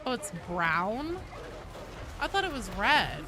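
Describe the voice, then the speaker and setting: A woman's voice: a little high, very animated, slow pace, music in the background. A woman game streamer chatting casually and informally while she plays, with slangy talk and plenty of swearing. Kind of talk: casual live stream chat with gameplay commentary.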